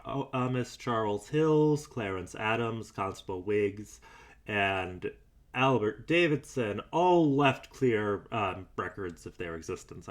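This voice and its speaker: A man's voice: medium pitch, a bit bright. A man playing a weary, doubtful investigator in an audio drama, dictating private logs in a hesitant, dryly skeptical, musing way. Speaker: investigator and show host character.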